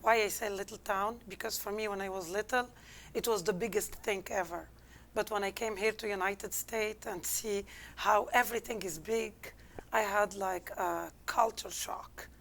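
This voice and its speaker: A woman's voice: medium pitch, steady tone, moderate pace, creaky, a bit bright, slight background noise. Algerian-accented woman telling her story. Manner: candid, reflective, non-native English phrasing. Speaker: interview guest. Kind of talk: personal storytelling in an interview.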